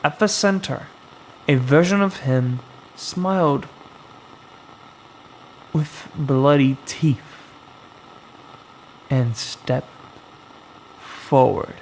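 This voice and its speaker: A man narrating a scripted horror story as its storyteller, in a dramatic, suspenseful way with long pauses. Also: medium pitch, darkish tone, slight background noise, rough recording. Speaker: storyteller, host of a horror anthology.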